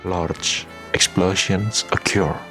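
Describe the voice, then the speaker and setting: A man's voice: low voice, fairly even intonation, slow, sharp tone. A man reading scripted narration for a radio drama. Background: music.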